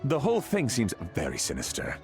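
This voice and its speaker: An actor playing a courteous, anxious household butler in a video game, speaking formally and hesitantly. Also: male voice, mid-range voice, huge pitch swings, a little fast, over music. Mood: uneasy.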